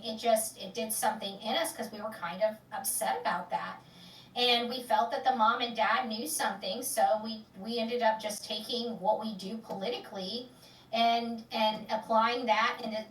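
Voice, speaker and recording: Bright woman rambling, roomy off-mic sound. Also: on the high side, fairly even intonation, normal speed, recorded from a distance. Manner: rambling with many fillers and restarts; loud, upbeat sign-off catchphrases. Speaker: online true-crime channel host. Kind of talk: casual chat, sign-off to viewers.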